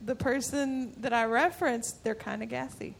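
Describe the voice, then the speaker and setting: A woman's voice: fairly high pitch, steady tone, normal speed, creaky. A woman co-hosting a casual podcast, joining in with playful, teasing banter. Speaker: podcast co-host.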